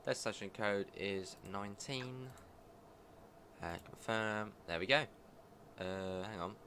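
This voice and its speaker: Deep guy, bunged up from a cold, rambling through a game, train sim noise humming under him. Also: steady tone, slow. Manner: chatty, thinks aloud, flustered at times. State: congested from a cold. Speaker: guest game streamer. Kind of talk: live gameplay commentary. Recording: game sounds running under voice. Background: train simulator ambience.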